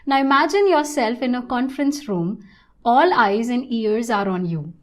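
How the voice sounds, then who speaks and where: A woman presenting an online English lesson in a scripted, instructional style, with deliberate and emphatic phrasing. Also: a little high, animated.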